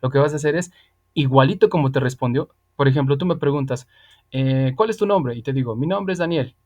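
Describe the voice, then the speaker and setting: A man's voice: mid-range voice, a little fast, darkish tone. A man, an online English teacher explaining things patiently and emphatically, with plenty of repetition. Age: 30s. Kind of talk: explanatory tutoring, casual spoken instruction.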